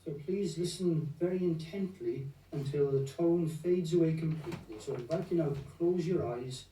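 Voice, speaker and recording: Mellow, soothing male meditation guide. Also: fairly high pitch. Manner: gentle, unhurried, soothing, with long pauses. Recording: roomy echo, distant from the mic.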